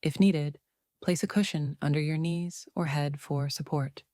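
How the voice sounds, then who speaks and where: A woman narrating a guided meditation in a soothing, unhurried way, leaving long pauses between phrases. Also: low voice, steady tone, dark tone, no room sound, studio quality.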